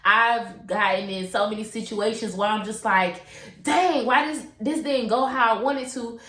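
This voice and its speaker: A woman's voice: a little high, unhurried, pushed and bright. Young woman host, stuffy nose, slangy casual solo chat, roomy echo. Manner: chatty, slangy, lots of fillers and asides. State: congested from a cold. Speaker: podcast host.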